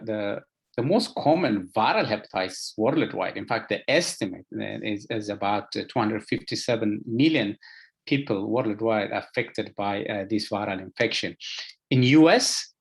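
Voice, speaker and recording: A man with an Ethiopian accent gives a medical lecture as an expert, speaking haltingly with frequent filler sounds and repeated words. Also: on the low side, lively intonation, breathy edge. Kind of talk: lecture presentation to health professionals.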